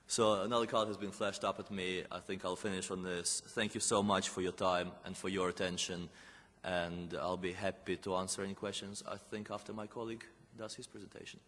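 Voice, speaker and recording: Bright-voiced man closing a talk. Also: low voice, flat delivery, brisk. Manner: courteous, rhetorical. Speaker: conference speaker. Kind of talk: closing a conference presentation. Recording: echoey conference hall.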